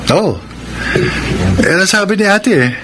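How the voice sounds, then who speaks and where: Soft-hearted Filipino husband, noisy audio. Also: male voice, sits high, expressive, a little slow. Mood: calm, understanding. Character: defensive, soft-hearted husband loyal to his older sister. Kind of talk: Filipino radio drama acting. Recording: noisy radio drama recording.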